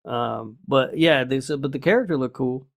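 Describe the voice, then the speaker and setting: A man's voice: medium pitch, normal speed, creaky. A man co-hosting a podcast, talking casually in a blunt, laid-back, foul-mouthed way. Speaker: podcast host. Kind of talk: casual chat.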